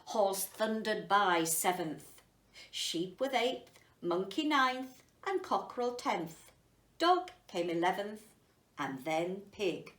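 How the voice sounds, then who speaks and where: Woman, children's storytime reader, slow delivery, roomy echo, distant microphone. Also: medium pitch, big pitch swings, a bit bright. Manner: unhurried, addressing children directly. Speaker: headteacher reading to children. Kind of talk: children's storytime reading and explaining.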